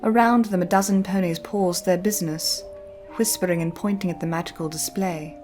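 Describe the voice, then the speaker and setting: A woman reading a scripted, dramatic story as narrator, with measured and deliberate phrasing. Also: on the low side, fairly even intonation. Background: soft music.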